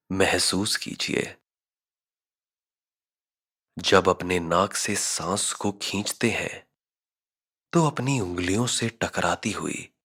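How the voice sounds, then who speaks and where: Male meditation guide: low register, very slow measured delivery, husky edge to the tone. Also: fairly bright tone. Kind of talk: scripted guided meditation narration.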